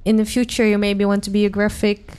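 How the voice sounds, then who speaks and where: A woman's voice: medium pitch, little pitch movement, a little fast, darkish tone, clean tone. Woman asking friendly interview questions. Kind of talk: casual interview.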